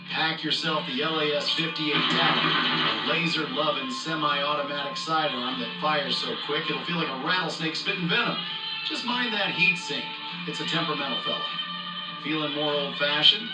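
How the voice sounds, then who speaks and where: A hokey cowboy salesman acted by a man pitches in a Western American drawl, full of folksy swagger. The sound is roomy and set back from the mic, with guitar playing behind this radio advert. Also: recorded from a distance, natural voice.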